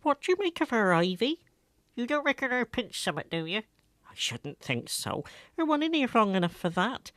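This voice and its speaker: A man's voice: very high-pitched, sing-song, normal speed, a bit bright, dry recording, studio quality, voice pushed up, full character voice. A man voicing gossipy village women at a shop, with West Country accent and rustic dialect, in an audiobook drama. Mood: inquisitive. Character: nosy, kindly village shopkeeper woman gossiping. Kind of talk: dramatised audiobook character acting.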